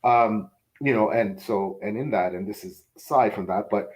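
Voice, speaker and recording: A man's voice: fairly low pitch, normal speed, darkish tone, low-quality recording. A man co-hosting a podcast, asking long hesitant questions full of fillers. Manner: rambling, hesitant, many fillers. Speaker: podcast co-host. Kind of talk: casual interview questions.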